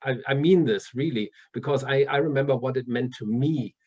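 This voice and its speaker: A man's voice: medium pitch, fairly quick, darkish tone, slightly rough. German-accented man, muffled lo-fi sound. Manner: hesitant, many restarts and fillers, laughs often. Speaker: author guest. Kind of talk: casual interview chat.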